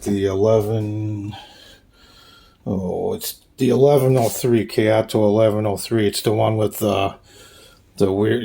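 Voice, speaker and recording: A man with a fairly low voice and a gravelly fry gives an informal tour of his collection. He talks slowly and haltingly, feeling for names as he goes. The delivery is unscripted and casual, like a hobbyist showing things off. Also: warm tone. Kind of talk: casual show-and-tell narration.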